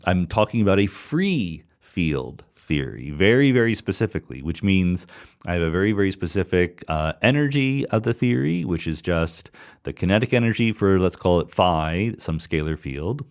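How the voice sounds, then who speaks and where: A middle-aged man hosting a podcast, answering listener questions in a thoughtful solo monologue, explaining step by step with frequent restarts and repeated words. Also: low voice.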